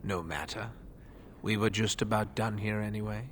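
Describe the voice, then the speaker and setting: An actor playing an older male cult priest in a fantasy game, formal and measured in his speech. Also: on the low side, fairly even intonation, a bit bright, a little hiss or hum, lightly acted. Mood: calm, courteous. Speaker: preacher. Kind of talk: scripted fantasy game acting.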